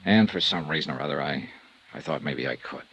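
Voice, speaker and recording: An actor playing a tough, plain-spoken insurance investigator in a 1950s radio detective drama, narrating and talking in a clipped, matter-of-fact way. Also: male voice, a bass voice, expressive, fairly quick, a bit bright, breathy edge, heavily acted. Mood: uneasy.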